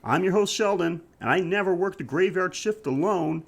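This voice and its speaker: A man's voice: high-pitched, normal speed, vocal fry. A man hosting a podcast in a casual, chatty style, joking and self-deprecating, speaking through a head cold. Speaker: podcast host.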